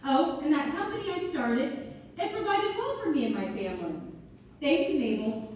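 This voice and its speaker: An earnest, grateful townswoman played by an actress gives a stage monologue. Her high voice moves up and down expressively, from far back in a very echoey room. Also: big pitch swings, moderate pace, darkish tone. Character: grateful, earnest townswoman who runs a business. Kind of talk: community theater monologue. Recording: echoey hall from far off, faint noise.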